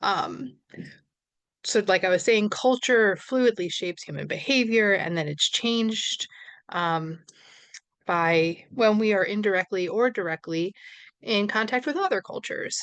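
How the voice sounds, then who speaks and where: A woman's voice: medium pitch, expressive, a little slow, a bit bright, dry recording. A woman from New York with a New York accent, giving a recorded social work lecture in a conversational way, with fillers and small self-corrections.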